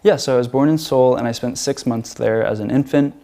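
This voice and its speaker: A man's voice: mid-range voice, a little fast, gravelly, warm tone. A young man from the United States, a featured artist answering interview questions in a hesitant way, with many fillers and restarts.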